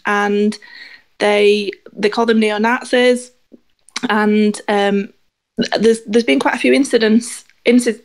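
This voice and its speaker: A woman's voice: mid-range voice, creaky. A woman co-hosting a podcast, laying out her points in an earnest, methodical way. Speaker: podcast co-host. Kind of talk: casual podcast discussion.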